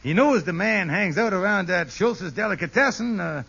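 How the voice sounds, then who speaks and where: An actor in an old radio sitcom playing an earnest, worried friend who speaks in folksy, ungrammatical style. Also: male voice, high voice, expressive, moderate pace, vocal fry, fairly bright tone, breathy edge, full character voice. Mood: concerned. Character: earnest, worried, good-natured male friend. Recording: dull, noisy vintage radio recording.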